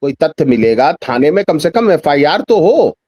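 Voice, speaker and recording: A man's voice: on the high side, big pitch swings, normal speed, dry recording, muffled recording. A man speaking as a journalist guest in a news discussion, giving emphatic political commentary with repeated phrases and rhetorical questions.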